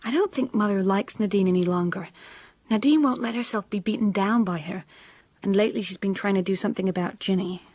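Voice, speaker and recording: An actress plays a timid young woman in her twenties in a radio drama. Her voice is soft-edged and a little breathy, with a hesitant, submissive way of talking. The sound is dry. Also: mid-range voice, brisk, no echo, natural voice. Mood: anxious, confiding. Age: 20s.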